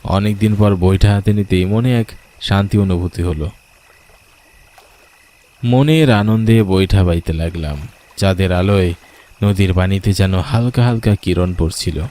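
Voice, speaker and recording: A man's voice: deep voice, measured pace, pauses often, dark tone. A man telling a scripted first-person horror story as a dramatic storyteller.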